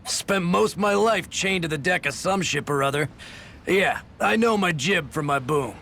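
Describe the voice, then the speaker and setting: A man's voice: a little high, lively intonation, moderate pace, gravelly, bright, forceful tone, exaggerated voice. A man playing a hearty ship captain in a video game, with folksy sailor talk. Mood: easygoing. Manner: folksy, colloquial nautical slang. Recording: noisy, ambient background sound.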